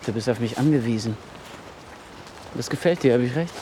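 A man's voice: mid-range voice, a little fast, noisy background, lightly acted. An actor playing a menacing, controlling man in a radio play, with a terse, taunting and probing way of questioning.

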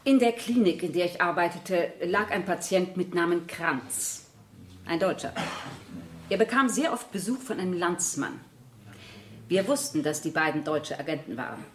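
An actress playing a righteous, bitter nurse who testifies deferentially as a witness in a German radio play, recorded in an echoey, somewhat noisy room. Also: female voice, on the low side, expressive, normal speed, natural voice. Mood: deferential, earnest. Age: 30s. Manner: dutiful, deferential, vehemently indignant. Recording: echoey room with background noise.

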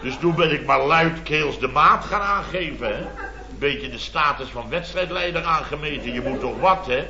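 A man's voice: fairly high pitch, expressive, normal speed, noisy background. A Dutch man acting a blustering, self-important building-firm boss in a radio comedy, rambling indignantly. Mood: indignant storytelling. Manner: indignant, rambling storytelling with tag words. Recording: dull, echoey vintage radio recording with audience.

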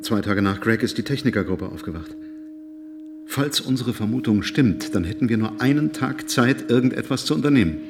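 An actor playing a controlled, clinical male officer of a space expedition in a German radio drama, formal and deliberate. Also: medium pitch, animated, background noise, lightly acted. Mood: clinical concern. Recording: music under the voice.

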